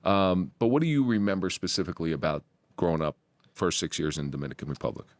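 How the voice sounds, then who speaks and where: Deep-voiced chatty podcast host. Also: male voice, quick pace, no room sound. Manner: chatty, opinionated, jokey asides. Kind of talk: casual interview.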